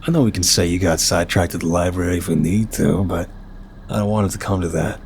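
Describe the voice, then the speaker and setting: A man's voice: low voice, quick pace, gravelly, a little hiss or hum. A man playing an apologetic, nervous but charming mafia boss in an ASMR roleplay, chatty, wry and flirtatious. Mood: earnest, playful.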